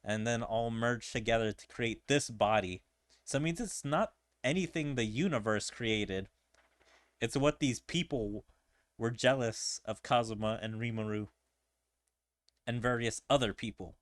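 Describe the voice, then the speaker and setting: A man's voice: medium pitch, a little slow, dry recording, studio quality. A man giving casual game commentary as a streamer, musing and thinking aloud. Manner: musing, speculative. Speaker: gaming streamer.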